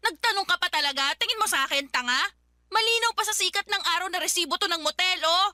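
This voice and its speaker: High-voiced, indignant young girlfriend. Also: fast talker, pushed and bright, soft background music, heavily acted. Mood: angry, accusing. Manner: indignant, confrontational, plaintive. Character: hurt, suspicious young girlfriend confronting her boyfriend. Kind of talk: Tagalog radio drama acting.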